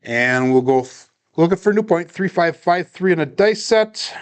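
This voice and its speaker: A man talks viewers through a dice practice session in an unhurried, steady way. His voice sits fairly high for a man and has a clean, smooth tone. He sounds relaxed and easy to listen to in the background. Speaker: craps practice live streamer. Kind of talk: casual play-by-play livestream narration.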